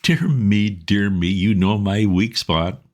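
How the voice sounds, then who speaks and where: A man's voice: on the low side, steady tone, takes it slowly, voice pushed up. A man voicing a sentimental, pompous elderly lord with a quavering, gushing manner.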